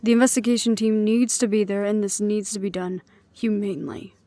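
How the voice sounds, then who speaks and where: Audio roleplay lead: actress as a dutiful middle-aged woman in charge, medium pitch, composed and resolute. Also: little pitch movement, no room sound, natural voice. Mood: reflective, resolute. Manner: measured, reflective, quietly firm.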